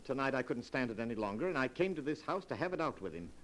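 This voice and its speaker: A cold, scheming murderer. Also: male voice, mid-range voice, very animated, fast, a bit bright, a little hiss or hum, rough recording. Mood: composed. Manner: smooth and calculating, rising to hysteria. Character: cold scheming male murderer who cracks at the end. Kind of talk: 1940s radio acting.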